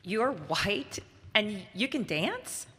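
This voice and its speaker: A woman's voice: medium pitch, big pitch swings, very slow. A woman reciting short scripted statements in a reverberant hall with background noise. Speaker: reader of short personal statements.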